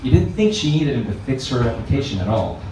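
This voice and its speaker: A man's voice: mid-range voice, sing-song, fast, darkish tone. A man narrating a story aloud expressively, as a book reader. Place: reverberant room at a live reading event. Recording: echoey room, distant mic, faint noise.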